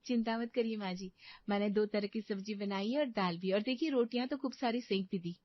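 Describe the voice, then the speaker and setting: A woman's voice: medium pitch, moderate pace, no room sound, highs cut off, close to an everyday voice. Woman playing a coaxing, determined young daughter-in-law. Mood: breezy. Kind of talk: cartoon moral story acting.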